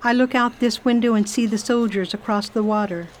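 A woman playing a brave, brisk lady traveler in a radio drama, with a commanding manner. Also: a little high, fairly even intonation, slight background noise, close to an everyday voice. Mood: reflective. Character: firm, brave lady traveler nursing a patient.

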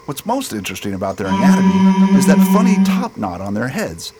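A man's voice: high-pitched, sing-song, a little fast, over loud music. A man playing a wry, composed space expedition leader in a science fiction radio drama, speaking casually. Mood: curious, wry.

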